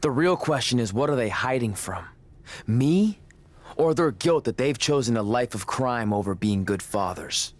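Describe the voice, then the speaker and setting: A man playing a cocky rookie who improvises action-hero lines in a deadpan tough-guy way for a video game. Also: medium pitch, normal speed, no echo, close to an everyday voice. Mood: mock-heroic. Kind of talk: video game cutscene acting.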